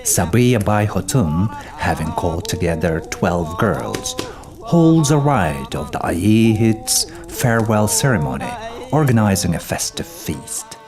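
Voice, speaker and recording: Male narrator for folk epic, lowish pitch, lively intonation, formal measured storytelling. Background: music.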